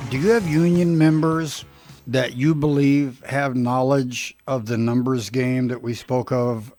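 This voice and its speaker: A man conducting an interview over a recorded telephone call in a conversational, deliberate and somewhat halting way. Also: mid-range voice, darkish tone, dry recording. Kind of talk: phone interview, conversational questioning.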